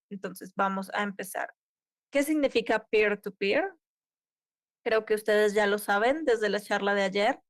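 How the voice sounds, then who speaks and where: A woman's voice: mid-range voice, dry recording. Woman interpreting a talk live, dry sound. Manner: uneven pace with occasional cut-off restarts. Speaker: simultaneous interpreter.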